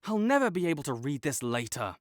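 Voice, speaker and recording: A man playing a teasing, lively student rival. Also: on the high side, a little fast, dry recording, studio quality. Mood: bossy. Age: young adult. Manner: teasing and bossy, gets flustered and defensive. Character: young male student, competitive academic rival, slightly tsundere. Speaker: voice actor in an audio roleplay. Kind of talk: audio roleplay acting.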